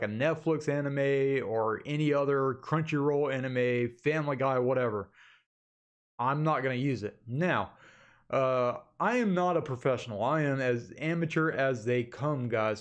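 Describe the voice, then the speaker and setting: A man talking informally and directly to his viewers as the host of an online channel, in a casual webcam update recorded on a desktop microphone in an office. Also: medium pitch. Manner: informal, addresses viewers as "guys" repeatedly. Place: indoor home office. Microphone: desktop USB condenser microphone.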